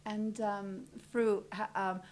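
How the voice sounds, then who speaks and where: A woman's voice: mid-range voice, little pitch movement, slow pace, darkish tone, slight background noise. A woman interviewing a guest, friendly, often restarting her questions.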